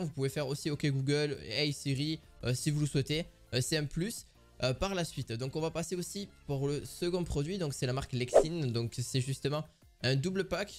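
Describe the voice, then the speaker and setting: A man giving a casual product review, hesitant and full of filler sounds and restarts. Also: fairly high pitch.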